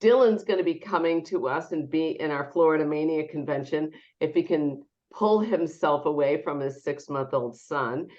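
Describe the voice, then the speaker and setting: A woman's voice: deep voice, normal speed, few pauses, a little mellow, muffled recording. A woman hosting a fitness webinar, talking in a chatty way with lots of ums, her voice nasal as she recovers from an illness. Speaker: webinar host and company CEO. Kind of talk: welcoming webinar introduction.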